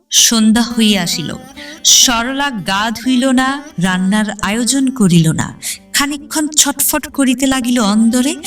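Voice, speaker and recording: A woman's voice: on the high side, far from the mic. A woman reading literary narration in a dramatic, scripted audio-story style. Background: background instrumental music.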